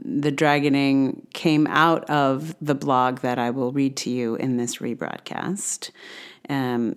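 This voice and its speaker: A woman's voice: sits low, clean tone. A woman hosting a solo podcast, talking casually in a rambling, hesitant way and often correcting herself as she goes. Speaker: podcast host.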